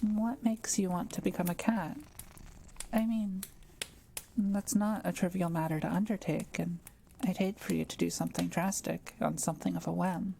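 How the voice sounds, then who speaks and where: A woman playing a kind, thoughtful witch who is proud of her skill, speaking gently and a little hesitantly to the listener in an intimate audio roleplay. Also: lowish voice, steady tone, normal speed, gravelly, a little hiss or hum. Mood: gentle, curious. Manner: gentle, hesitant, explanatory musing with small hums.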